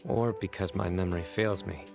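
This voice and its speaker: A serious, reserved young lawman from a video game. He has a low voice and a measured, formal, deadpan delivery. Music plays clearly behind him. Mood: grave, reflective. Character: stern, reserved young male desert lawman, serious and weary.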